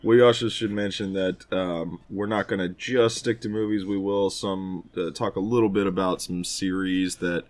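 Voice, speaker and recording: A man's voice: deep voice, steady tone, normal speed, few pauses. A man co-hosting a podcast, chatting casually in an informal, jokey and opinionated way. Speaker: podcast co-host. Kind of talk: casual chat about films and games.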